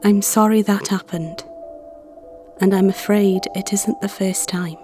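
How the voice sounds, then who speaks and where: Slow, gentle female therapist acted by a woman. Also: mid-range voice, fairly even intonation, close to an everyday voice. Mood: tender, reassuring. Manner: soothing, earnest, deliberate with pauses. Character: gentle, protective female visualization therapist guarding imagined minds. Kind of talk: ASMR story roleplay. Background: background music.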